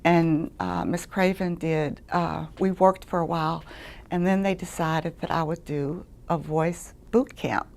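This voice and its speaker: Low gravelly woman. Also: animated, a little slow, a little mellow. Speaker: patient giving a testimonial. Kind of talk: personal testimonial.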